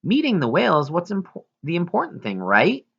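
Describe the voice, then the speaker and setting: A man's voice: sits high, huge pitch swings, brisk, gravelly, fairly bright tone, slightly rough, highs cut off, voice pushed up, exaggerated voice. A man reading the lines of a supportive, practical, easygoing grandmother in a storybook. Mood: reassuring. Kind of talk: audiobook character dialogue.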